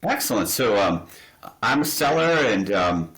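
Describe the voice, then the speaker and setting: A man's voice: on the low side, very animated, unhurried, fairly bright tone, roomy sound. A man hosting a business interview, asking casual questions with many fillers. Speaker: interview host.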